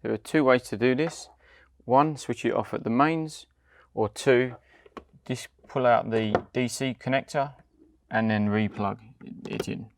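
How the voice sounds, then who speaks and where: A British man, around his forties, giving scripted step-by-step product instructions in a measured, deliberate way. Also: mid-range voice, dry recording. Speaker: product demonstrator.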